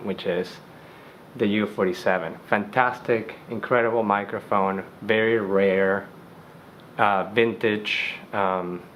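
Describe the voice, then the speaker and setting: Deep-voiced man explaining studio gear. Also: fairly bright tone, slight background noise. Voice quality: deep bass-heavy voice. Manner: loose, conversational explaining with many fillers. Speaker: studio engineer. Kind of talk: gear tutorial. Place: recording studio.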